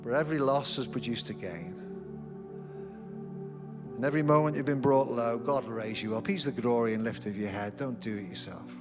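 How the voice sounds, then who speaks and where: A man's voice: mid-range voice, brisk, music in the background. A middle-aged man preaching a sermon as senior pastor in a church, telling humorous, self-deprecating stories. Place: church auditorium.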